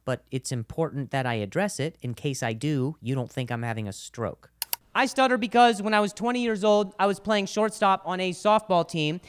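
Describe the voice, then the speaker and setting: A man's voice: on the high side, smooth. A man in his thirties with a stutter, a stand-up comedian talking to the crowd and narrating his video. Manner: animated, exasperated, escalating outbursts.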